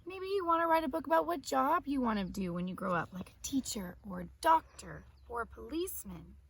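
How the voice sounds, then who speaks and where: A woman's voice: high voice, sing-song, fairly quick, a little hiss or hum. A woman speaking as an elementary teacher to young children, reading aloud and explaining things simply, step by step. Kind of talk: read-aloud and children's art lesson.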